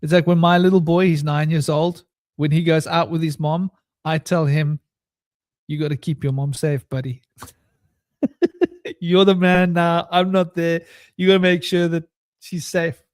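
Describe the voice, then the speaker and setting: A man's voice: a little high, flat delivery, a little slow, long pauses, a little mellow, dry recording, studio quality. Chatty young father, stammering. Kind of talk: casual chat.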